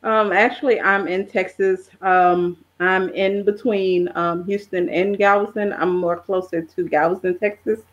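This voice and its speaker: A middle-aged woman answering as a podcast guest, talking casually in a halting, conversational way. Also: medium pitch, steady tone, lo-fi sound. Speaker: podcast guest, travel content creator. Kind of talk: casual interview chat.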